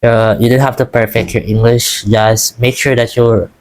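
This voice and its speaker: Smooth-voiced Filipino man, encouraging chat. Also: medium pitch, steady tone, moderate pace, rough recording. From Philippines. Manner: rambling, encouraging, restarts often. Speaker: vlogger. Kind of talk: casual talk to camera about learning English.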